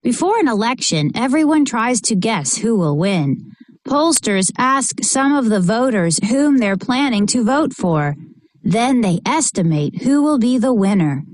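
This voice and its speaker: A woman reading a children's picture book aloud in an expressive, deliberate way for young listeners. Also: on the high side. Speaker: storybook reader.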